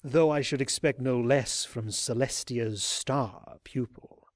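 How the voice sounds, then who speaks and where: A man playing a coldly polite, sinister pony cult leader in an audio drama, speaking in a measured, formal and menacing way. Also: mid-range voice, no echo, studio quality, close to an everyday voice. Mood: smugly menacing. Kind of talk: fan audio drama acting.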